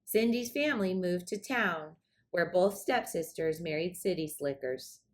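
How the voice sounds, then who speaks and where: A woman narrating a children's storybook aloud in an expressive read-aloud style. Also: lowish voice, a little slow. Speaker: storybook reader.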